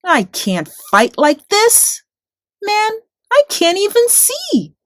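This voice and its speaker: A woman's voice: very high-pitched, huge pitch swings, takes it slowly, fairly bright tone, no room sound, studio quality, voice pushed up, exaggerated voice. A woman voicing a tiny, spunky, fearless shepherd boy in a rhyming children's storybook, bold and declamatory. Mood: frustrated, determined.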